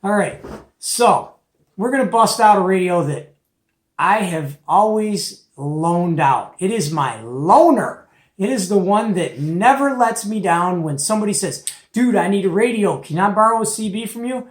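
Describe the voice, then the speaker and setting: Man, middle-aged, higher pitch, folksy, opinionated, casual product demo, echoey room. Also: expressive, a little slow. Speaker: host of a CB radio show-and-tell. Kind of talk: casual product show-and-tell chat. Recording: echoey, roomy home recording.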